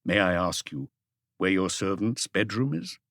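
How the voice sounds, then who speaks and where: Guy doing a police detective in an audiobook, lowish voice, formal, patient, probing, very dry recording. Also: animated, unhurried, fairly bright tone, no room sound, exaggerated voice. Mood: tactful, probing. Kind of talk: audiobook character dialogue.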